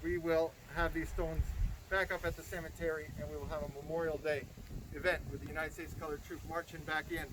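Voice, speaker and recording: A man's voice: on the high side, little pitch movement, fairly quick, background noise. A man from Estonia telling a grateful, earnest personal story in an outdoor speech. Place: outdoors by a river.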